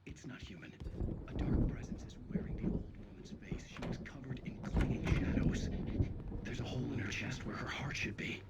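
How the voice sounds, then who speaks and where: An actor playing a haunted, confused writer in a game, giving a breathy, half-whispered monologue. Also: male voice, medium pitch, fairly quick, lo-fi sound, lightly acted. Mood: haunted, frightened. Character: haunted, confused writer fearing a dark presence. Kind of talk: dramatic recorded monologue. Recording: game audio with clear background noise.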